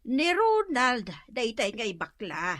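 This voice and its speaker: A lively, gossipy neighbor. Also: female voice, on the high side, slow, no echo, studio quality, full character voice. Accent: Filipino. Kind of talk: comedic radio drama acting.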